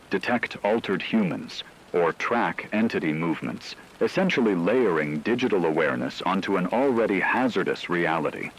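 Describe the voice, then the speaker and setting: A man playing a grave radio news co-anchor giving deliberate, formal scripted commentary during an apocalypse. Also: low-pitched, a bit bright, exaggerated voice, rough recording. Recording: faint rain noise behind voice.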